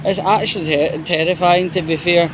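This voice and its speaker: A man's voice: sits high, steady tone, moderate pace, background noise. A man giving an opinionated, exasperated, sweary commentary in a casual vlog style. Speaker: YouTuber.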